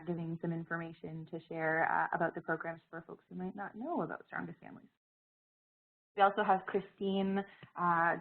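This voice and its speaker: A woman presenting an information session while she has a cold, her voice scratchy, pausing often with fillers. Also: fairly low pitch, husky. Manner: hesitant, many fillers and restarts. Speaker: presenter, program director. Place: Halifax, Canada. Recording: phone-like narrow audio.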